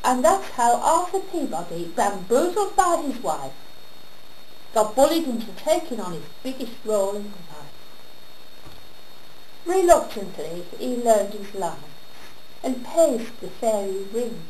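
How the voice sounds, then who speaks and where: A woman recites a comic rhyming monologue in an echoey room with some background noise. Her delivery is theatrical and unhurried, with deliberate pauses and lively swoops of pitch. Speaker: monologue performer. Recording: roomy echo with background noise.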